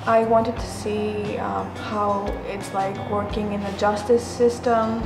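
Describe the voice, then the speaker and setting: Flat-voiced teen girl from Greece. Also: mid-range voice, slow pace, roomy sound, over music. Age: teens. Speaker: student participant. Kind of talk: casual interview answer.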